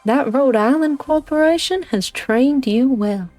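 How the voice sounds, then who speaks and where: A woman's voice: high voice, measured pace, warm tone, dry recording. A woman playing a tough, sardonic female director in a fan-dubbed game story, dry and commanding. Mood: wry, confrontational. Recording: faint background music.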